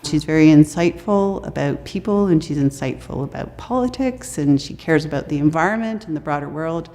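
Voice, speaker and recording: Deep-voiced woman in a reverberant room. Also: lively intonation, moderate pace, dark tone. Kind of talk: documentary interview.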